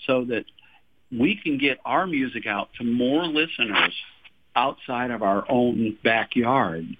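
A man's voice: medium pitch, unhurried, very noisy. Man in his sixties from Texas, chatty guest, laughs often, restarts his sentences, tinny phone line. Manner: chatty, laughs often, repeats words when starting. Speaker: singer-songwriter guest. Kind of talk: radio interview, casual storytelling.

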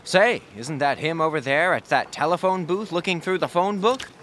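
Guy doing an bus driver, bright punchy highish voice, helpful, radio comedy. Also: normal speed, a little hiss or hum, close to an everyday voice. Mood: matter-of-fact. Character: helpful American bus driver calling out stops.

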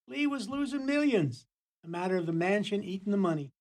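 A man's voice: high-pitched, animated, brisk, darkish tone. A man playing a sly, playful detective's sidekick in a comedy whodunit radio play, arch and teasing. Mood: teasing, smug.

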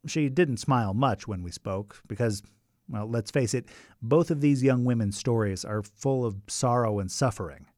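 A man's voice: medium pitch, a little mellow, no room sound. Dry, conversational male radio narrator. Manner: conversational with wry asides. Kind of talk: scripted documentary narration.

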